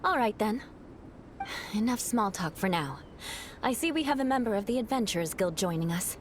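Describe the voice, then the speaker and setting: A reserved, aristocratic female knight captain, played by a woman, composed and formal in every phrase. Her voice sits at an ordinary middle pitch, with faint background noise. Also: expressive, normal speed, natural voice. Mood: brisk. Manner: formal, composed, slightly aloof.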